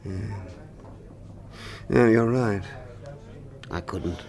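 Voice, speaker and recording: An actor playing a flustered, scheming British husband in a radio drama, wordy, theatrical and fretful. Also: male voice, fairly low pitch, very slow, darkish tone. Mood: sheepish, alarmed. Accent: British English. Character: flustered, scheming husband beset by his own duplicate.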